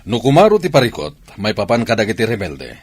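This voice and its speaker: Actor as a lively low-voiced army commander and father. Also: brisk. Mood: stern, commanding. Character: military camp commander, a husband and father. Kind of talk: Ilocano radio drama acting.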